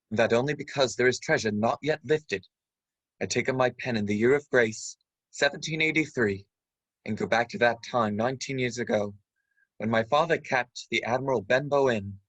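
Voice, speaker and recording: A man playing an earnest storyteller who recalls a boyhood adventure, narrating a radio play in a measured, literary way. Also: mid-range voice, a bit bright, no echo, natural voice, poor audio.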